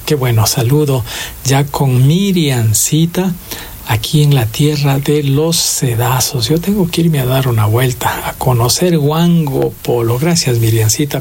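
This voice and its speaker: A man's voice: mid-range voice, darkish tone, a little hiss or hum. A man with an Ecuadorian accent hosting a radio music show, greeting listeners and introducing songs in an effusive, sentimental, ornate way.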